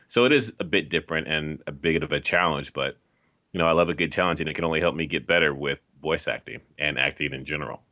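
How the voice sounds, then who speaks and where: A man chatting casually as an interview guest, in halting, rambling phrases, on a muffled lo-fi recording. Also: very deep voice, bright, forceful tone. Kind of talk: casual chat. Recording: dull, lo-fi voice track.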